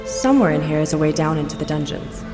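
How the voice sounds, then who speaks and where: Cool-headed leader voiced by a woman, low-ish, echoey room. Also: lively intonation, fast, over music. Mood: composed. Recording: echoey hall reverb.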